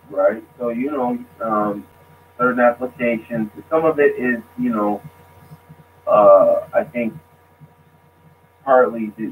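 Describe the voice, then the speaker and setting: A man speaking casually as a guest, halting and restarting his sentences. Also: on the low side. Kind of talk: casual discussion. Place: lives abroad. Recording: tinny phone line with faint noise.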